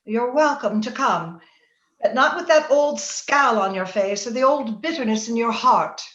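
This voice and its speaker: A woman playing an anxious, proud married gentlewoman, earnest and pleading, in an old-time radio drama over a video call. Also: fairly high pitch, lively intonation, a little slow. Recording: dull, echoey video-call audio.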